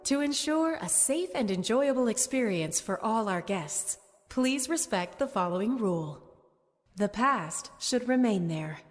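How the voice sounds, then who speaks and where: An actress playing a polite, eerie theme park announcer, reading public-address messages in a courteous, measured, faintly ominous way. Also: female voice, on the high side, animated. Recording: echoey hall reverb over music.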